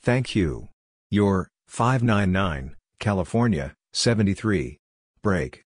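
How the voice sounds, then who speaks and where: A low, slightly rough-edged man narrates a practice drill of short scripted phrases. He speaks slowly and in measured, clipped pieces, one phrase at a time. The sound is dry and close, with no room around it. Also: expressive, no echo.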